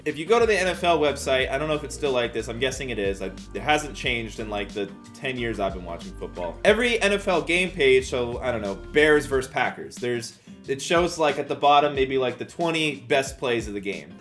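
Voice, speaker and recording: A man's voice: mid-range voice, fairly bright tone. An American man in his twenties telling a story in a casual vlog, with slangy, self-deprecating phrasing. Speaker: YouTuber and storyteller. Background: background music.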